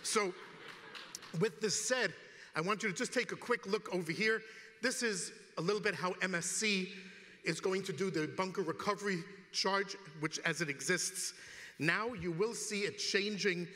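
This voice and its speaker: A man's voice: high voice, moderate pace, bright, forceful tone. A man in his sixties with a New York accent, speaking bluntly and jokingly in short punchy lines at a conference. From New York City, United States. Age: 60s. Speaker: shipping executive, conference speaker. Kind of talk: informal conference keynote. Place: large conference stage, indoors. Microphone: lapel. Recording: hall reverb from a stage sound system.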